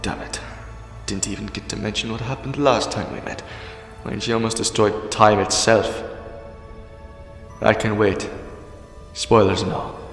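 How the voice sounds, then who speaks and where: A man's voice: fairly low pitch, steady tone, measured pace, long pauses. A man playing a sardonic, argumentative time-travelling hero in a sci-fi fan audio drama, defiant in temper. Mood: wry, annoyed. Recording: echoey reverberant hall, music underneath.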